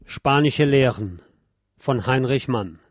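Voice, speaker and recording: A man with a deep voice reading a scripted essay aloud in a measured, formal, literary manner. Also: animated, loud music behind the voice. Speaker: host reading essays on history.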